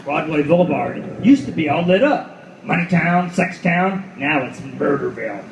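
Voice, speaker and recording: A hardboiled, cynical private eye acted by a man tells his noir story with swooping, animated pitch. His voice sits a bit high and he swears with weary sardonic bite. The roomy live recording carries faint noise. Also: moderate pace. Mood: weary, watchful. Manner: world-weary, profane, sardonic. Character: hardboiled cynical male detective at the world's end. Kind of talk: noir radio play, first-person narration. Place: live reading in a roomy venue.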